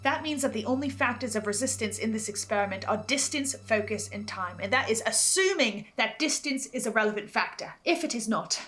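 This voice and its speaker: A woman's voice: on the high side, lively intonation, brisk, hardly any pauses, a bit bright. A woman narrating a scripted analysis as host, speaking earnestly and theatrically with precise wording. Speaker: host of a fan theory video series.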